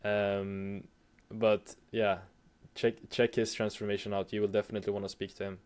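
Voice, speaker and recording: A young man from Sweden with a Swedish accent, speaking English as a second language as an interview guest, hesitant and halting with frequent restarts. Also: lowish voice, little pitch movement, a little mellow, clean tone. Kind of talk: casual interview chat.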